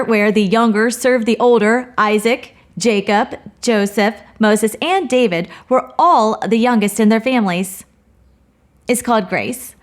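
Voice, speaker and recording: A woman teaching a Bible study, speaking in an earnest, personal way with touches of light humor. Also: on the high side.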